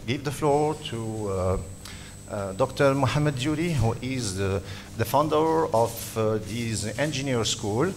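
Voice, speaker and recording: A man from the organizing committee welcomes the audience with halting, filler-laden remarks in a middle-range voice. He sounds plain and formal. A faint hiss sits under the lectern microphone. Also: mid-range voice. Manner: hesitant, frequent fillers. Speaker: organizing committee member opening the conference. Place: conference hall.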